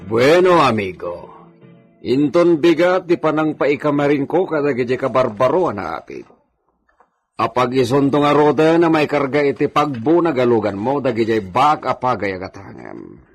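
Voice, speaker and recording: A husky, friendly Ilocano trader. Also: male voice, medium pitch, sing-song, moderate pace, faint music behind. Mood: businesslike, friendly. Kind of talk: Ilocano radio drama acting.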